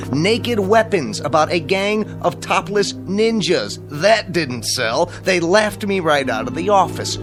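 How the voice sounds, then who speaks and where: An actor playing an exasperated veteran talent agent in a comic drama, with a dry, cutting, deliberate delivery. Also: male voice, on the high side. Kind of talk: comic television drama acting.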